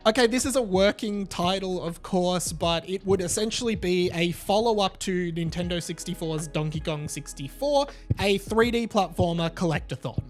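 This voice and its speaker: A man's voice: high-pitched, normal speed. A man hosting a casual, chatty video game commentary, speaking enthusiastically to viewers in slangy language. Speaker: YouTube video host. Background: background music.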